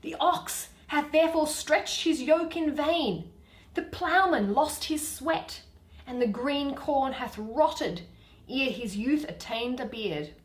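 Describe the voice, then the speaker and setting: A woman voicing a proud, indignant fairy queen in measured, declamatory Shakespearean verse. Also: high voice, lively intonation, echoey room. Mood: aggrieved.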